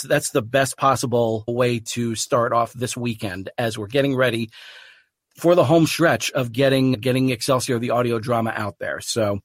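A man's voice: medium pitch, no room sound. A man hosts his own podcast in a mid-range voice. He talks earnestly and loosely, restarting his thoughts. The sound is dry and close. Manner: earnest, rambling with restarts and laughs. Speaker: podcast host. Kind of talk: casual podcast chat.

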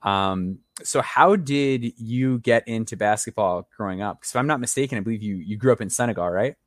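A man's voice: on the low side, brisk, dry recording, clean studio sound. A young man hosting a basketball interview show, eager and talkative, often repeating words as he goes, over a video call. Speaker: podcast host.

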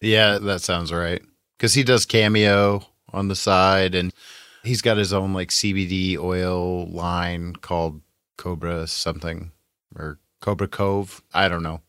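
A man's voice: sits low, fairly even intonation, slow, a bit bright, clear, resonant tone, dry recording, clean studio sound. A man co-hosting a podcast in casual, jokey banter, tossing in quips as he goes. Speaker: podcast co-host.

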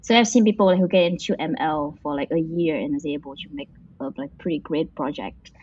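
A woman's voice: deep voice, a little fast, darkish tone, breathy edge. A woman from Vietnam, an interview guest working in machine learning, answering casually and hesitantly, with many fillers and restarts. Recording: dull muffled lo-fi audio.

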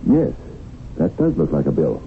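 Crooked male businessman, low voice with big swings, officious, on muffled, hissy vintage radio. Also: huge pitch swings, moderate pace, heavily acted. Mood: smug, oily. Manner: mock-courteous, pedantic, curt with staff. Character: sly, stingy, smoothly officious swindling businessman. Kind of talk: 1970s radio drama acting. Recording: muffled, noisy 1970s radio broadcast.

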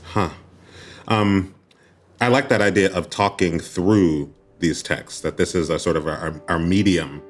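A man's voice: low voice, unhurried. A man hosting a radio program, moving between narration and conversation. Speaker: radio host.

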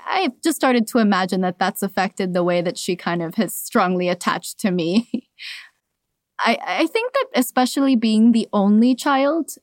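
A young adult woman, born in Cuba and raised in Miami, speaks at a middle pitch as she reflects on family. She laughs lightly between thoughts and sometimes restarts a sentence. The recording is dry and close, with no room sound. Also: no room sound. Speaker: podcast guest. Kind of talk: personal storytelling in an interview.

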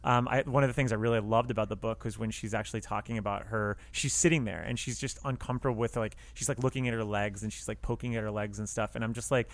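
A man's voice: lowish voice, fairly even intonation, fast talker, hardly any pauses, no room sound. A man co-hosting a podcast, chatting casually about film in a rambling, wry way with some swearing. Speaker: podcast co-host.

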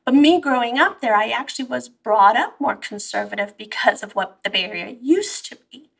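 A woman, born in Iran and raised in the US, telling stories in an animated, conversational way as an interview guest. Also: fairly high pitch, moderate pace, vocal fry, slightly rough, far from the mic. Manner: animated storytelling, quoting herself and her mother. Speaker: therapist guest.

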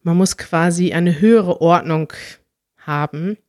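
A woman's voice: lowish voice, a little slow, a little mellow, no echo, studio quality. A woman co-hosting a podcast in casual chat, talkative and thinking aloud as she explains. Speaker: podcast co-host.

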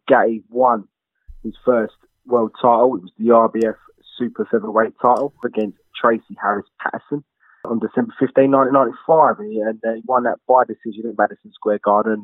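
A man's voice: fairly low pitch, dry recording. A man chats through a boxer's story, loose and conversational, often restarting his sentences. His voice comes through a narrow, phone-like line. The sound is close and dry, with no room around it. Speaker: co-presenter. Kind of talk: casual documentary commentary.

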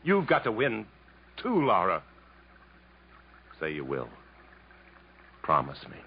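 Earnest, world-weary English adventurer, 1950s radio drama. Low, measured, dramatic; dull vintage broadcast sound. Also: male voice, wildly swooping pitch, noisy background, exaggerated voice. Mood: urgent pleading. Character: earnest, world-weary Englishman narrating his jungle journey. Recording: muffled 1950s radio broadcast, faint music.

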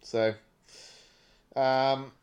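A man's voice: mid-range voice, flat delivery, extremely slow, darkish tone, lo-fi sound. A man with a British accent, chatting casually as a podcast co-host. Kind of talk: casual chat.

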